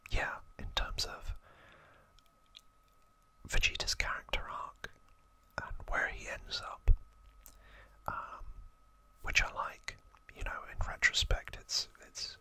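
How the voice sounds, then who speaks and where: An ASMR host whispering casual, informal trivia narration in a breathy, unvoiced voice. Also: slow, bright, forceful tone.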